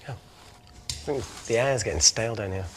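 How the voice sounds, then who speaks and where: A man's voice: lowish voice, moderate pace, slight background noise, lightly acted. An actor playing a practical, sardonic male tech colleague, matter-of-fact and dry in a dramatic style. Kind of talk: naturalistic dramatic screen acting.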